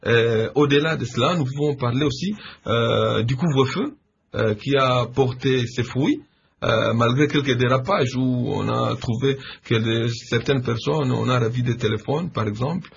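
A man answering radio interview questions, hesitant and measured with many fillers. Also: medium pitch, vocal fry, breathy edge. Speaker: civil society leader, interviewed guest. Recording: dull lo-fi radio line.

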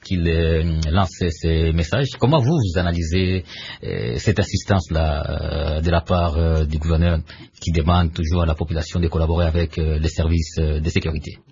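A man's voice: very deep voice, steady tone, normal speed, gravelly, darkish tone. A man hosting a radio news interview, asking formal questions with fillers. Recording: dull lo-fi radio sound.

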